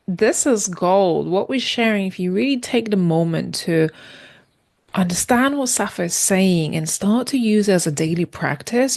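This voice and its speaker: A woman hosting a podcast, her voice on the low side and recorded dry and close. She affirms what her guest said and turns to speak directly to the listeners. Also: gravelly, dry recording. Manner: warm, affirming, addresses the audience. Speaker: podcast host. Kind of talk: interview, encouraging remarks.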